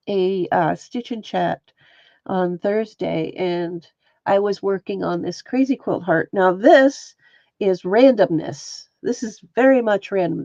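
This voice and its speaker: A woman's voice: mid-range voice, mellow, dry recording, lo-fi sound. A woman with a stuffy, sniffly nose hosting a casual crafting livestream and chatting with viewers by name. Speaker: livestream host and textile artist. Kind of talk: casual livestream chat while showing stitching.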